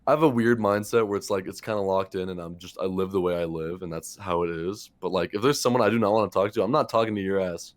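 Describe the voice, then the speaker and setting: Young male podcast co-host, low voice, loose and profane, full of "like" fillers. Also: fairly even intonation, fast talker, few pauses. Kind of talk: casual chat.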